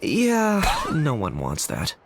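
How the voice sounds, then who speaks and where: A man's voice: on the high side, wildly swooping pitch, slow, noisy background, heavily acted. Brief: a dry, plain-spoken game tribesman. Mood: deadpan. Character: dry, deadpan tribesman offering a barbecue. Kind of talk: video game voice acting.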